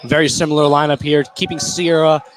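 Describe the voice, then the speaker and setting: A man's voice: on the high side, flat delivery, a little fast. A man giving short, reactive color commentary on a live radio basketball broadcast. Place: courtside in a sports gym during a game. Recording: clear gym noise behind voice.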